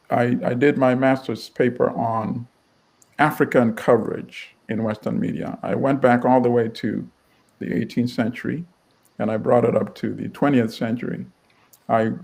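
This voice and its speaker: Middle-aged man from Uganda, publisher as interview guest; gravelly, measured, wry delivery. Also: medium pitch, a little mellow. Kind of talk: storytelling interview answer.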